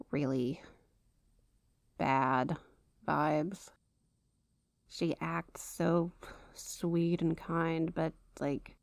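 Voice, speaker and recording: Woman acting a slow, gravelly-edged worried coworker. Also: low voice, no echo, exaggerated voice. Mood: uneasy, hesitant. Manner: halting, earnest, tentative. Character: worried, awkward, protective female coworker, gentle and hesitant. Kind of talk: ASMR roleplay acting.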